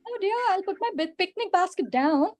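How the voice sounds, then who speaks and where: A woman's voice: very high-pitched, expressive, a little fast, slight background noise. A woman voicing a cheerful little girl exploring a forest, exclaiming and whispering in wonder over a video call. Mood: scared. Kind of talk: improvised storytelling acting.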